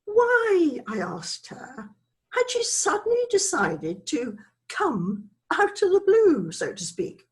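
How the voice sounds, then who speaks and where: A scatty, frivolous older British woman tells her life story as a stage monologue. Her voice sits fairly high and swings dramatically up and down, unhurried as she wanders off the point and excuses herself. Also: wildly swooping pitch, full character voice. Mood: flustered, confiding. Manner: rambling, frivolous, digressive, self-excusing. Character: chatty, scatty older Englishwoman named Isabella, reminiscing.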